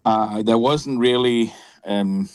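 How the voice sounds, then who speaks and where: A man who teaches at a Bible college, answering interview questions in a hesitant way with many pauses and restarts. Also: mid-range voice, darkish tone, clear, resonant tone, no room sound, clean studio sound.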